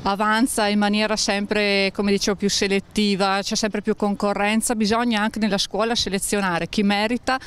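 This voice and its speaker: A woman's voice: mid-range voice, flat delivery, moderate pace, hardly any pauses, clean tone. A middle-aged woman answering a street interview firmly and emphatically, speaking into a handheld mic outdoors. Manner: firm, opinionated, emphatic. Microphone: handheld mic held by interviewer.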